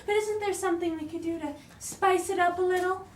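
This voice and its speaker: A woman's voice: extremely high pitch, fairly even intonation, brisk. A woman playing a spoiled young queen in amateur comic theatre, haughty, breezy and dismissive. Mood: petulant. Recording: echoey room, distant mic, noisy.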